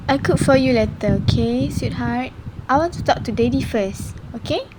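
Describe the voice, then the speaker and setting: A woman's voice: high voice, normal speed, close to an everyday voice. A woman acting a distressed, indignant wife and mother in an amateur radio drama, emotional and pleading. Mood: gentle. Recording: clear background noise.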